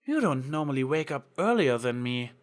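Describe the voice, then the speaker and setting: A man voicing a gentle, caring, organised female unicorn in a story reading. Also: medium pitch, big pitch swings, normal speed, lightly acted. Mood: concerned.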